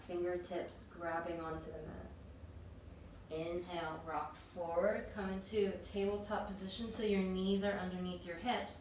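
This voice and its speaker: A woman teaching a yoga class, calmly guiding breath and movement step by step. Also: fairly low pitch. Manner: calm, gentle cueing of breath and movement. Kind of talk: guided yoga instruction. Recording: very echoey room, distant mic, faint noise.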